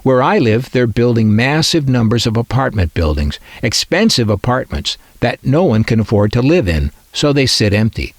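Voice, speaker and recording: A man's voice: mid-range voice, very animated, normal speed, hardly any pauses, no room sound. A man narrating a scripted collector's video as host, with dry, tongue-in-cheek asides.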